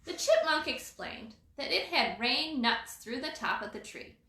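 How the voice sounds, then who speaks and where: A woman reading a children's storybook aloud as storyteller, in an expressive, dramatizing manner. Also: high voice, measured pace. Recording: roomy echo.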